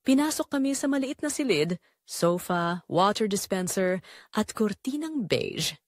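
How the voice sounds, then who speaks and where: Animated woman narrating a dramatic story. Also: mid-range voice, unhurried, no room sound, studio quality. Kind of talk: scripted dramatic first-person narration.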